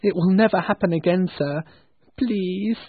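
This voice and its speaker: A man's voice: high-pitched, unhurried, husky, no echo, voice pushed up. A man voicing a timid, frightened clerk who pleads and falters, in storybook style. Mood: fearful pleading. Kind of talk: storybook character acting. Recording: thin phone-like band-limited sound.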